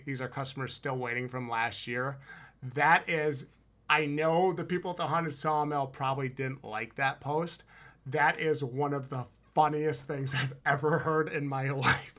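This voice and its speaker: A man with a Wisconsin accent talks casually into a headset mic from a room at home, reviewing a haunted house. His voice sits a little high and sounds bright and forward. He is enthusiastic and emphatic, with plenty of slang. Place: home room with shelves, indoors. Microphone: headset with boom mic on video call.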